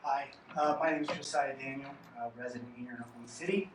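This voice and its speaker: A man giving a prepared public comment at a meeting, grave and deliberate. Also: mid-range voice, fairly even intonation. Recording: echoey hall, distant mic, faint noise.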